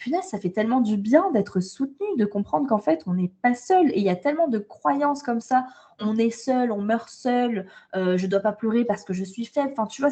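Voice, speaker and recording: A woman's voice: medium pitch, mellow. A French woman coaching women, talking frankly and emphatically in a casual interview, often repeating her starts. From France. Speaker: guest, holistic coach. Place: travelling abroad, indoors.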